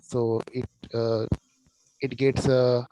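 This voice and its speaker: A man with an Indian accent gives spoken feedback over an online call. His voice sits at an average pitch. He searches for words and repeats himself, with slight noise and clicking in the recording. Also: flat delivery, slow. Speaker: speech evaluator at a public speaking club.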